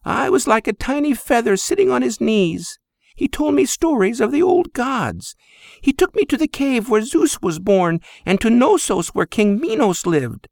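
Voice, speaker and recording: A man's voice: very high-pitched, sing-song, normal speed, creaky, darkish tone, no echo, clean studio sound, pitched above the performer's own voice, heavily acted. A man voicing an older Greek immigrant mother who scolds in broken English. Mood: reminiscing.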